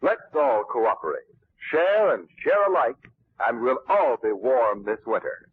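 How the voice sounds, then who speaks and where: A man's voice: medium pitch, sing-song, a little slow, slightly rough. Swooping man announcer, muffled noisy radio. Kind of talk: 1940s scripted radio announcing.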